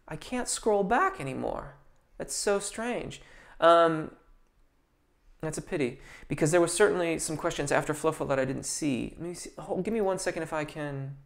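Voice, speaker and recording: A man who is a vocal coach, answering viewers' questions in a relaxed, chatty live stream with lots of ums and restarts. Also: on the high side.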